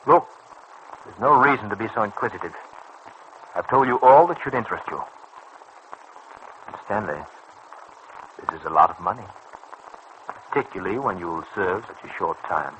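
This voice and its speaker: An actor in an old radio serial playing a suave, scheming crime boss who coaxes and patronises in precise, persuasive phrases. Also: male voice, on the low side, animated, moderate pace, long pauses, fairly bright tone, highs cut off. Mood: pressing. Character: smooth, scheming male racket boss, coaxing and patronising. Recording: old radio recording with faint noise.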